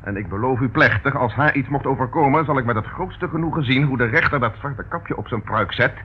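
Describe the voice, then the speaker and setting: Guy playing a stern dry police inspector in an old radio play, deliberate, kinda dull noisy recording. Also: medium pitch, lightly acted. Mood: stern warning. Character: dogged, sardonic male police inspector, menacingly patient.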